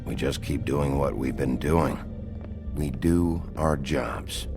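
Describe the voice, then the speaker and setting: A man playing a gruff, brooding, protective sheriff with a gravelly voice in a noir video game. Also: extremely low pitch, steady tone, slow pace, music in the background, exaggerated voice. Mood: guarded, serious.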